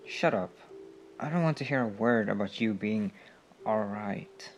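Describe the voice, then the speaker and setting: A man acting a caring, protective male comrade in a comfort roleplay, speaking softly and soothingly with unhurried pauses. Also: lowish voice, slight background noise. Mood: alarmed, insistent. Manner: soft-spoken, hushed, soothing, with unhurried pauses. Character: caring male anarchist comrade, protective, gently scolding, tending a friend.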